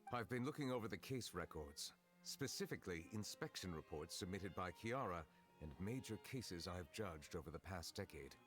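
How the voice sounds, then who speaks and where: An actor playing a calm, formal, centuries-old judge in a video game, speaking in measured, precise sentences. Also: male voice, sits low. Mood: composed. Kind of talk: scripted video game dialogue. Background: game music.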